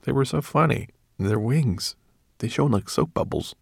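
An eager young boy from a storybook, played by a man. He is curious and full of wonder, his pitch lifted and bouncing about. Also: animated, a little slow, creaky, slightly rough, no echo, clean studio sound, full character voice. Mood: wistful.

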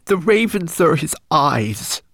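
A tiny, tearful homunculus servant, his voice pitched high and trembling as he stammers out his remorse, put on by a man reading aloud. The lines swoop widely and are set close and dry. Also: very animated, measured pace, gravelly, no room sound, heavily acted. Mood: tearful confession.

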